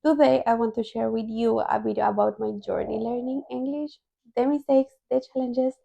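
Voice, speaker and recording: A woman's voice: on the high side, steady tone, moderate pace, creaky, warm tone, muffled recording. A young adult woman from Colombia, a native Spanish speaker with a Colombian accent in English, telling her own story casually to viewers as a vlogger. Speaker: language-learning vlogger.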